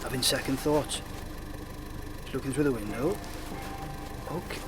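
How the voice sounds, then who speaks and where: An actor playing a watchful junior police officer on stakeout in a radio play, speaking in clipped observations. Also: male voice, mid-range voice, measured pace, recorded from a distance, background noise, natural voice. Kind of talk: British comedy radio play acting.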